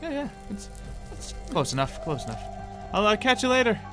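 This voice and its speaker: A man's voice: sits high, very animated, a little fast. A man doing casual, improvised Minecraft roleplay commentary in an offhand, playful way. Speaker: gaming video creator. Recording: music under the voice. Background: game music.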